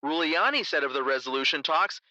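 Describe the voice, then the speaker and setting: Very sharp-voiced radio news reporter. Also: male voice, fairly high pitch, expressive, brisk, no room sound, highs cut off. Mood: matter-of-fact. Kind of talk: news bulletin in an audio drama.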